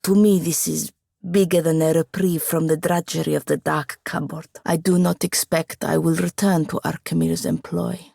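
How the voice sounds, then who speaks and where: Young female wizard apprentice, low creaky voice, sardonic, haughty, precise, fantasy game dialogue. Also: little pitch movement, moderate pace, no room sound, exaggerated voice. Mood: measured, candid. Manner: dry, haughty, precise, sharp-tongued. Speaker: video game companion character.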